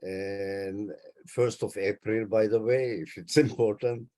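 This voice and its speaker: Old guy in his 80s, native hungarian speaker, talks real slow and careful, telling family history. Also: fairly low pitch, fairly even intonation, warm tone. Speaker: interviewee, Holocaust survivor. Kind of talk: recounting family history in an interview. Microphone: video call.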